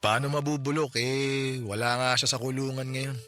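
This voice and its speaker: A man's voice: medium pitch, steady tone, a bit bright, close to an everyday voice. A Filipino man voicing a poor widower who has just lost his family, weary and bitter in a radio drama. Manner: weary, bitter, speaks slowly when stressing words. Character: grieving poor widower, tricycle driver, bitter about justice. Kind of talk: Tagalog radio drama acting.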